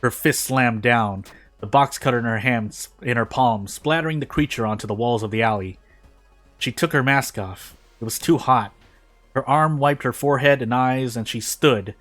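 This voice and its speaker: A man's voice: medium pitch, moderate pace, a bit bright. Male story reader, mid-range voice, dramatic fan fiction narration with music underneath. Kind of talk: dramatic reading of prose fiction aloud. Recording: music behind the voice. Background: background music.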